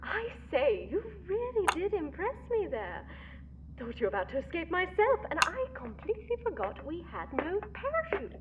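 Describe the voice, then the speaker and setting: A high, sing-song villainess who talks down to everyone, swooping up and down as she mocks , voiced by a woman. The sound is tinny and phone-like, set back from the mic over a clear hiss. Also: wildly swooping pitch, moderate pace, recorded from a distance, exaggerated voice. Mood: mocking. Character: scheming female villain, mocking and condescending.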